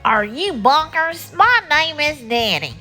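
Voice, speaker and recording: A woman's voice: a little high, sing-song, takes it slowly, faint music behind. A woman voicing a huge, grumpy female mountain monster in a storybook, emphatic and indignant.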